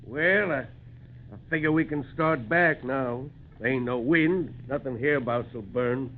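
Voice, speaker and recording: An actor in a 1940s radio drama playing a plain-spoken, kindly mountain homesteader with a folksy backwoods drawl. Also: male voice, mid-range voice, measured pace, close to an everyday voice. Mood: puzzled. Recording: tinny, noisy 1940s radio recording.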